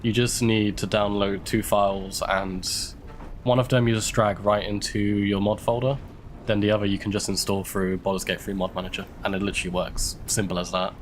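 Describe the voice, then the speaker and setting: A man's voice: lowish voice, little pitch movement, brisk, a little hiss or hum. A young man with a London English accent, stuffy from a cold, chatting casually while streaming a game live. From United Kingdom. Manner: chatty, laughs often, thinks aloud. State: stuffy from illness. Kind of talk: casual gameplay commentary.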